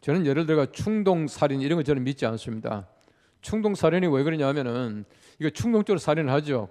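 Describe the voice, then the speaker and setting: A man's voice: on the high side, darkish tone. An older man preaching a dawn sermon in a conversational way, with stories and rhetorical questions, in a large church sanctuary. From Gyeongsang region of Korea. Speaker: pastor. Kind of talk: sermon at a dawn prayer service. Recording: long church hall reverb.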